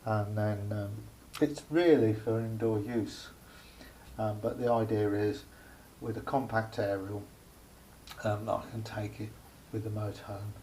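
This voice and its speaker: A man giving a casual, unscripted hands-on demonstration as a hobbyist, speaking haltingly with pauses in mid-phrase. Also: fairly low pitch, fairly even intonation, warm tone. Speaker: hobbyist showing a homemade radio aerial project. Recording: faint background noise, amateur camera recording.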